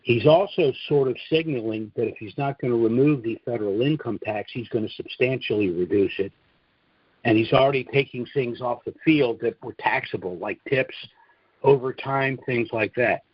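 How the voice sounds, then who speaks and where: A husky-voiced man presents an informal briefing on a conference call. His voice sits a little low, and he sets out his points in deliberate chunks with weighty pauses. The audio is dull and lo-fi, with very little room around it. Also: no room sound.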